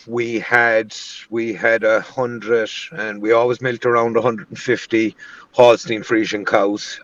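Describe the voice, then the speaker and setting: A man with a Cork accent, a guest auctioneer chatting in an interview, unhurried and pausing often mid-sentence. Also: lowish voice, gravelly, fairly bright tone, a little hiss or hum. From Cork City, Ireland. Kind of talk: casual interview chat. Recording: dull, distant mic.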